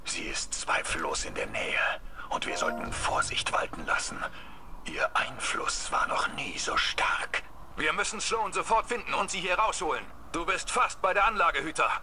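A man's voice: high voice, big pitch swings, normal speed, in a whisper. A man playing a stern, passionate military commander in a dramatic video game performance. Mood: hushed, grave.

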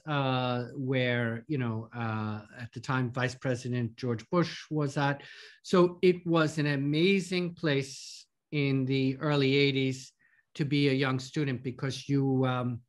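New York man in his fifties, halting. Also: mid-range voice. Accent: American, New York. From New York, USA. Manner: hesitant, many fillers and repeated words. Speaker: podcast guest, lawyer. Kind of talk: casual interview talk.

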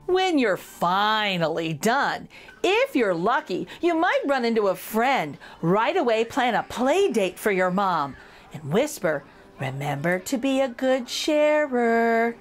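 A woman reads a picture book aloud for children in an expressive, playful way, addressing the young listeners directly. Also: a little high, takes it slowly, soft background music.

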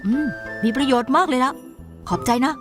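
A woman's voice: high voice, normal speed, close to an everyday voice. A woman voicing an earnest, easily flustered young teenage boy apprentice in a Thai anime dub. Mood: reassuring. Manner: earnest, boyish, protesting. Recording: voice over background music.

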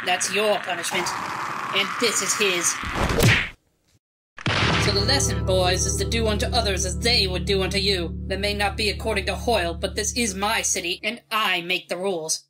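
Woman as stern, commanding superheroine over loud music. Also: medium pitch, steady tone, normal speed, natural voice. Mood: lecturing. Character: stern, commanding superheroine who rules her city. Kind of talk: comic-book dub voice acting.

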